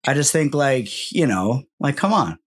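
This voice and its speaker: A man's voice: medium pitch, big pitch swings, measured pace, husky. A man from New Jersey co-hosting a podcast, bantering casually with joking, salty, haggling patter. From New Jersey, United States. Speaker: podcast co-host.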